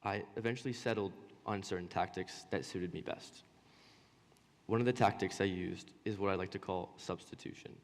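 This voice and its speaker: A young man, a student presenter, giving a personal speech on stage with a stutter, halting pauses and repeated words. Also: low voice, steady tone. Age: young adult. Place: stage in a large hall. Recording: echoing hall with long reverb.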